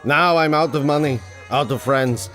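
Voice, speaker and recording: An actor playing a proud, bitter, drunken big-game hunter in a pulp radio adventure, with a theatrical, blustering manner. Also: male voice, a little high, moderate pace, a bit bright, soft background music, close to an everyday voice.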